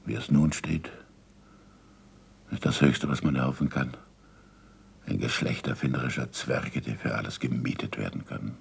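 An actor playing an ageing, disillusioned scientist in a German radio play, gravelly and husky, with deliberate, weighty delivery. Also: male voice, rumbling low, heavily acted. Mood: bitter, solemn. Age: older. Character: ageing, disillusioned scientist, bitter, self-accusing, fond of food.

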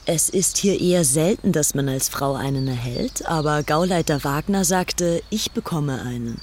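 Actress voicing young English upper-class female zealot, low voice, self-assured, brazen, theatrical, diary narration, audio docudrama. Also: normal speed, darkish tone, slight background noise, natural voice. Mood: smug, delighted.

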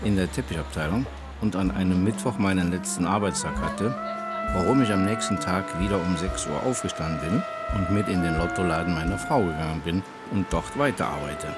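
Very deep-voiced man in his sixties. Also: a little fast. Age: 60s. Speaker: shopkeeper telling his own view. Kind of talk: scripted first-person narration. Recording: loud music behind the voice. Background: prominent music.

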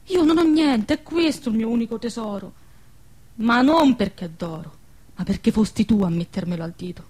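A woman playing a poor, devoted village wife in a wartime radio drama, with a central Italian dialect accent from southern Lazio and an earnest, expressive manner. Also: a little high. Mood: tender, solemn. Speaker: radio play actress.

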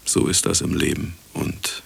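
An actor playing an aging, self-absorbed ex-husband who narrates a radio play in a wry, brooding, theatrical way with bitterness. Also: male voice, unhurried, a bit bright, in a whisper, close to an everyday voice. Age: older. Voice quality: gravelly, husky. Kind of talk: radio drama acting and narration.

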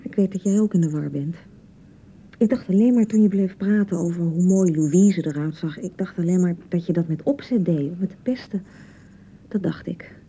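A woman's voice: lowish voice, moderate pace, dark tone, a little hiss or hum, natural voice. A woman acting a touchy, hurt and unsure young newlywed bride in a radio drama, defensive and hesitant in manner. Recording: dull, slightly muffled 1990 radio broadcast.

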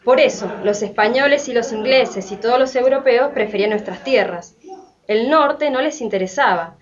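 A woman's voice: a little high, moderate pace, a crowd in the background, close to an everyday voice. A woman narrating in an educational radio drama. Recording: dull lo-fi sound.

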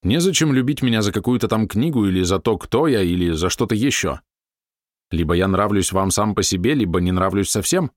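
A man's voice: lowish voice, lively intonation, fairly quick, dry recording, clean studio sound, lightly acted. A man voicing an irritable middle-aged male writer who snaps back with indignant retorts. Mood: irritated.

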